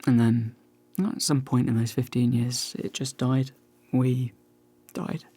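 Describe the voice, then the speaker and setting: Guy acting as awkward chatty 40ish man in a radio play, kinda wounded, hesitant, mid pitch, no room sound. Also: level tone, takes it slowly, mellow, husky, no room sound. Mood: wistful. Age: about 40. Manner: hesitant, talkative, self-deprecating. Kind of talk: naturalistic radio drama acting.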